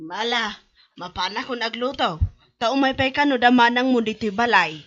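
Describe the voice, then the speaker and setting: A woman's voice: a little high, moderate pace, fairly bright tone, close to an everyday voice. A woman with a Filipino accent acting an inquisitive elderly grandmother in an audio drama. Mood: fond, curious. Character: elderly grandmother, inquisitive about her family. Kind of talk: amateur Ilocano radio-style drama acting. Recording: dull lo-fi audio.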